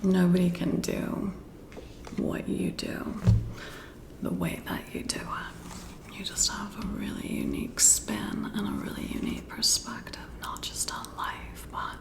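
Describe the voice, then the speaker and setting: Female ASMR performer, soft-spoken and half-whispered, slow and intimate, playing an appreciative boss in one-sided chat. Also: on the low side. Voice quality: soft, breathy whisper with creaky edges. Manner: unhurried, flattering, intimate, as a friendly boss.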